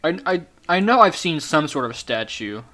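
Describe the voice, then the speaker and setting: A man's voice: a little high, big pitch swings, moderate pace, bright, forceful tone. A teenage boy hosting a casual podcast chat, hesitant and full of fillers and restarts. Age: teens.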